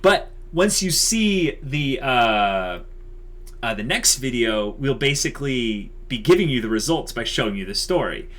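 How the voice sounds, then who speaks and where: A man's voice: mid-range voice, very animated, a little slow, fairly bright tone. A man co-hosting a YouTube channel, chatting casually and unscripted in an enthusiastic, emphatic way, repeating himself for emphasis.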